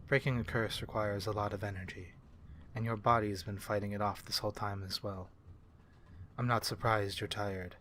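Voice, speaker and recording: Man playing a low-voiced, courteous vampire. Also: steady tone, slight background noise, close to an everyday voice. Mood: gentle, caring. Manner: measured, formal, gently reassuring. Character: calm, courteous male vampire ally to humans. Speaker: ASMR roleplay performer. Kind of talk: soft-spoken ASMR fantasy roleplay.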